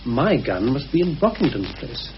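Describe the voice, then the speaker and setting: British radio-play conspirator, played by a male actor: grave, careful, hesitant, medium-pitched, on a hissy old recording. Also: very animated, moderate pace, lo-fi sound, lightly acted. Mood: guarded, confiding. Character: anxious, cautious male conspirator confiding a grave suspicion.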